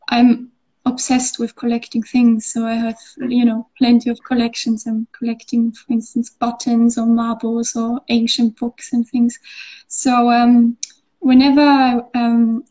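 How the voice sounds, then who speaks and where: A woman's voice: on the high side, little pitch movement, a little slow, mellow, poor audio. A woman from Austria, an artist, talking in a casual interview with lots of fillers and restarts. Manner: hesitant, many fillers and restarts. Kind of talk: conversational interview.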